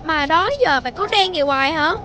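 A woman voicing a lively, stubborn young child in a children's cartoon, with a southern Vietnamese accent. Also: sits high, brisk, slight background noise. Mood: friendly, chatty. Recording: music bed behind the voice.

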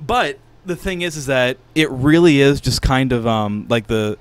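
A man's voice: mid-range voice, a little slow, clean tone, no echo. A man chatting casually as a podcast co-host, often groping for his words. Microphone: podcast microphone in a small studio.

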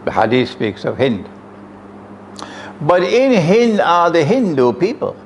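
An older man with a Trinidadian accent lectures emphatically into a close stand mic, his voice pitched a little higher than most men's. He throws out rhetorical questions to the audience. A faint hiss of room noise sits under him. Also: very animated, a little slow. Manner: emphatic, rhetorical questions, sweeping hand gestures. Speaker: Islamic lecturer. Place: room with green chairs, indoors. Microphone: stand mic close in front.

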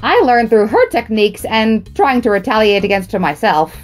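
A woman's voice: on the high side, sing-song, normal speed, soft background music. A woman voicing a boastful, easily flustered young male skeleton brother in an audio drama, speaking in an emphatic, theatrical way. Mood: earnest, apologetic. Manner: theatrical, emphatic, stammers when nervous. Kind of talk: amateur audio drama acting.

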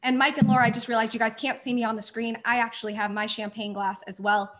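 A woman's voice: a little high, level tone, quick pace, fairly bright tone. A woman hosting a virtual charity gala, thanking sponsors effusively while losing her voice. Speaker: gala host. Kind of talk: scripted event hosting.

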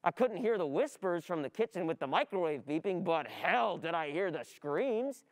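A woman's voice: fairly low pitch, expressive, normal speed, dry recording, rough recording. A woman playing a frustrated, overwhelmed student in an exasperated, confessional dramatic monologue for a radio play. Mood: tense, recounting. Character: frustrated, overwhelmed female student struggling to keep up.

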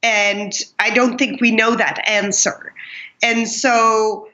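A middle-aged woman speaks in a bright, slightly high voice, reflecting earnestly on her past. The audio is dull and lo-fi, as from a remote interview call. She talks in a calm, conversational way. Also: takes it slowly. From Pakistan. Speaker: guest, kindness speaker.

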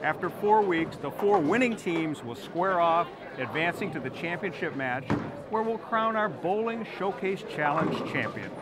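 A man's voice: fairly high pitch, animated, moderate pace, noisy background. A middle-aged man hosting a bowling show in a bowling alley, explaining the format in an upbeat way on a lapel mic. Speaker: host. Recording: loud music underneath.

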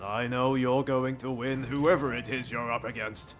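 A man voices an easygoing young-hearted samurai friend in a video game. He speaks at a middling pitch, casual and eager, like a loyal companion. Faint music sits behind the voice. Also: fairly even intonation, normal speed, natural voice. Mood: friendly, encouraging. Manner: casual, chummy, upbeat. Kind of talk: scripted video game cutscene acting.

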